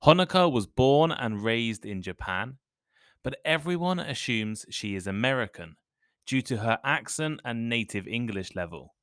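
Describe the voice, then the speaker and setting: Man, podcast host, clear measured delivery, mid-pitched voice, dry close sound. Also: expressive, a bit bright, dry recording. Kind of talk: scripted intro then casual interview.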